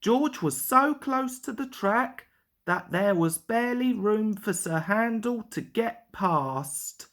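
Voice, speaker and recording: A woman's voice: medium pitch, expressive, slow, a bit bright. A woman reading a scripted children's story aloud as narrator.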